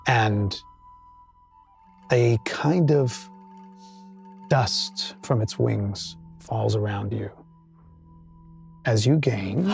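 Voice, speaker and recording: A man running a tabletop game, narrating scenes in a slow, drawn-out way. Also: lowish voice, animated, creaky, a little mellow, faint music behind. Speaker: game master.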